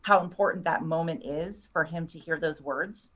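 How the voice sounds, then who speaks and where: A woman's voice: low-pitched, moderate pace, fairly bright tone. A woman chatting casually as a guest, explaining in an earnest way. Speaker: guest, scriptwriter. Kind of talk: casual chat. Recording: slightly dull, lo-fi sound.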